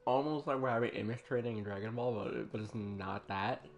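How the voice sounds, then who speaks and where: A man's voice: lowish voice, a little fast. A young man streaming himself playing a video game, commenting casually, chuckling often and talking back to the characters. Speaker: gaming streamer. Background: game music.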